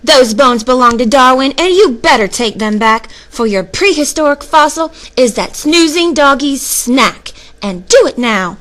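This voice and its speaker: A woman voicing a bossy mother cat from a storybook, loud and commanding as she scolds. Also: medium pitch, sing-song, moderate pace, few pauses, slightly rough. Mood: stern, ordering.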